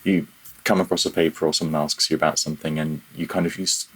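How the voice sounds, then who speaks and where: A man's voice: rumbling low, flat delivery, quick pace, fairly bright tone. A man speaking as a guest in a casual technical interview, thinking aloud and often correcting himself mid-phrase. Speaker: guest, audio programmer.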